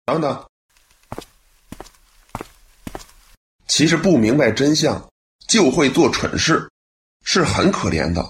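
A man's voice: mid-range voice, very animated, unhurried, slight background noise. A man acting in a radio play as a grateful, earnest son telling of his mother's recovery. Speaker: radio drama actor.

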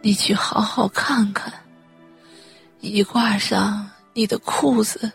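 A woman's voice: medium pitch, steady tone, soft background music, heavily acted. A woman playing an anxious, tearful, upright widowed mother in a Chinese radio drama, sighing and pleading. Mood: anguished, reproachful. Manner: sighing, pleading, slow and breathy.

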